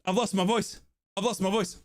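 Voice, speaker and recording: A man from Australia streaming a football watchalong, cheering and yelling excitedly, his voice hoarse from shouting. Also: high-pitched, a little fast. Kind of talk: excited fan commentary.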